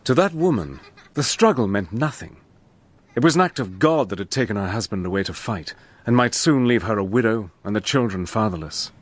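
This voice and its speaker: A man's voice: on the low side, expressive, a little fast. A man giving earnest, reflective first-person narration for a radio drama.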